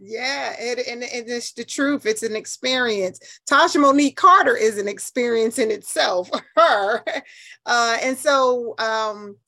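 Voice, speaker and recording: A woman's voice: fairly high pitch, measured pace, sharp tone. A woman in her fifties with an American accent, telling stories casually and emphatically as an interview guest. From New Haven, United States. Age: 50s.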